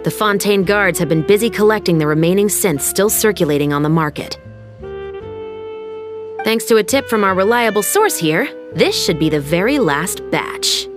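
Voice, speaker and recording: A woman's voice: mid-range voice, lively intonation, moderate pace, over music, lightly acted. A woman playing a firm, no-nonsense young patrol captain in a video game. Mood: matter-of-fact. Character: stern, assured young female patrol captain, justice-minded. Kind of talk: video game cutscene acting.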